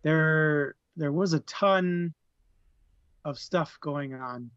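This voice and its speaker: A man co-hosting a book club podcast, giving his views in a thoughtful, halting way and repeating words as he searches. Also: on the high side, a bit bright, smooth, dry recording. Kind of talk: casual book discussion. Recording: dull, lo-fi dry mic.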